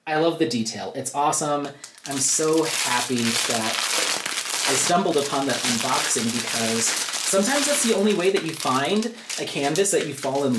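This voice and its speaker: A woman giving a casual, chatty unboxing commentary, thinking aloud as she goes at a craft table. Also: very deep voice. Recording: roomy, echoey sound with background noise. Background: crinkling plastic bags, clicks.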